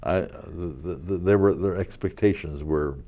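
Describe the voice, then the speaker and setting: Very deep-voiced older man telling stories. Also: moderate pace. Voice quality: loud voice. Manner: rambling, chuckling, with many fillers and restarts. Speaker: guest, local historical reenactor. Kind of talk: casual interview storytelling. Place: community TV studio.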